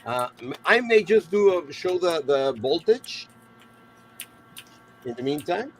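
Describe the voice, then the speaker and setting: Man, fairly high voice, halting good-humoured hobbyist chat, faint background noise. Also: big pitch swings. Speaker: hobbyist guest on the stream. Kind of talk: casual show-and-tell chat. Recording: faint noise, room ambience.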